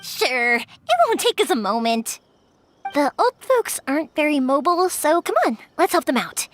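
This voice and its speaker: A woman's voice: high voice, expressive, normal speed, a little hiss or hum. An actress voicing a cheerful, eager young woman who makes fireworks, in a game. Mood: upbeat. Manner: upbeat, eager, reassuring. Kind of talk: game voice acting.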